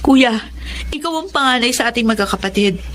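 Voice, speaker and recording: A woman's voice: a little high, lively intonation, fairly quick, fairly bright tone, a little hiss or hum. An actress with a Filipino accent playing an earnest, determined young provincial woman in a radio drama.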